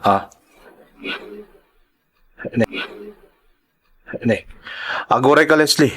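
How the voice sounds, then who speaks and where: An actor playing an earnest young male migrant worker, gentle with family and defensive with rivals. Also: medium pitch, drawn-out words. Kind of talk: Ilocano audio drama acting.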